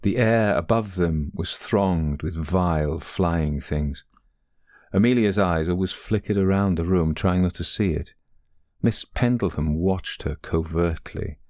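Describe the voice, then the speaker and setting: A man narrating a literary ghost story in a deliberate, dramatic storytelling manner. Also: low voice, darkish tone, dry recording, clean studio sound.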